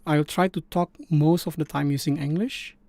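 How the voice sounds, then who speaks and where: Indonesian-accented man with a gravelly voice. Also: a little high, fairly even intonation, warm tone, no echo. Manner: halting, many fillers and restarts. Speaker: live stream host. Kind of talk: casual coding stream chat.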